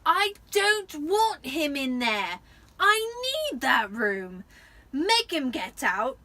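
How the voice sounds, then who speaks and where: A spoiled storybook boy, as a woman acts him out, bawling in a high, piercing voice. He is whiny, demanding and very loud. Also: sing-song, extremely slow, heavily acted. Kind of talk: dramatic amateur audiobook reading.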